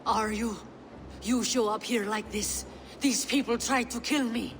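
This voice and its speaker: A woman's voice: a little high, steady tone, unhurried, background noise. A wary, rattled Norse woman. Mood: alarmed. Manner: guarded, urgent questioning. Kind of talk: video game cutscene acting.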